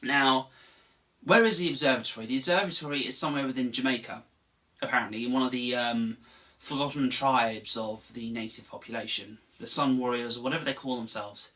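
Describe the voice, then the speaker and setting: A man's voice: medium pitch, fairly quick, sharp tone, far from the mic. A man casually explaining video game lore as a channel host, rambling with fillers and correcting himself as he goes. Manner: rambling, self-correcting, offhand.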